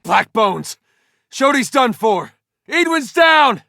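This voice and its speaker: An actor playing an easygoing, loyal farmer turned soldier in a video game, with laid-back folksy phrasing and dry humour. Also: male voice, lowish voice, animated, normal speed, no room sound, close to an everyday voice. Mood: anguished shouting. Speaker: video game companion character. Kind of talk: game dialogue and battle barks.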